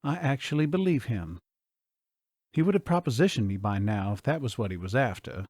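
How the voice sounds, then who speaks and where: A man reading a first-person romance audiobook in a measured, steady way. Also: mid-range voice, no echo, studio quality. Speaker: audiobook narrator.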